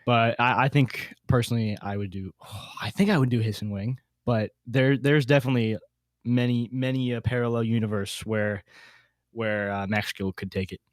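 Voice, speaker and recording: A man's voice: medium pitch, steady tone, moderate pace, no echo. A man hosting a casual panel discussion, often repeating words as he thinks aloud. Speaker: podcast host.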